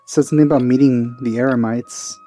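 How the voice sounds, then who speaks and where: A man's voice: medium pitch, fairly even intonation, fast, mellow. A man giving casual commentary while playing a game, muttering hesitant asides. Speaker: gamer recording a playthrough. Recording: game music faintly behind.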